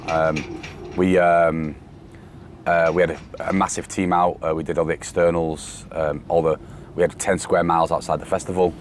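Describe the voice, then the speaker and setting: A man from the UK chatting casually on a podcast, rambling with fillers and restarts, his voice worn from shouting. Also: low voice, flat delivery, moderate pace. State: voice going from shouting. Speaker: podcast host, security company boss. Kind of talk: casual chat. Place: outdoors on a festival site during breakdown. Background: faint site noise and vehicles.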